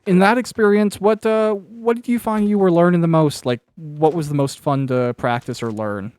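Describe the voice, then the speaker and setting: A man's voice: fairly high pitch, normal speed, a little mellow, no room sound. A man hosting a casual interview, chatting in a rambling, anecdotal way and swearing freely. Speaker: podcast interviewer and author.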